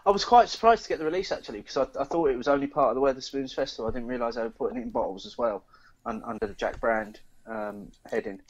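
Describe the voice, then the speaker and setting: British man, lower-pitched, casual podcast host. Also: quick pace, no room sound, highs cut off. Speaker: podcast co-host reading news. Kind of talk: casual beer podcast chat.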